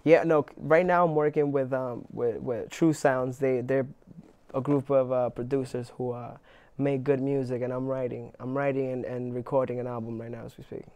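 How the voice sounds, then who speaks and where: A man's voice: mid-range voice, smooth. A young man, an actor being interviewed, talking casually with lots of restarts and fillers. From New York, Inwood. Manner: relaxed, halting with many restarts and fillers.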